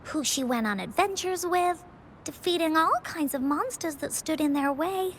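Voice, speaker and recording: A woman's voice: sits high, normal speed, a bit bright, a little hiss or hum. A woman voicing a cheerful, earnest little girl in a video game who tells stories with dramatic flair. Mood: happy, earnest. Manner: dramatic storybook narrating, exclaiming. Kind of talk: video game voice acting, storytelling.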